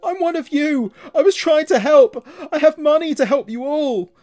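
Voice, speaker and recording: Male narrator as a condemned hermit, high-pitched, desperate, shouting pleas, horror story, music underneath. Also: moderate pace. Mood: frantic pleading. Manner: shouting, pleading. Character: desperate falsely accused hermit pleading for his life.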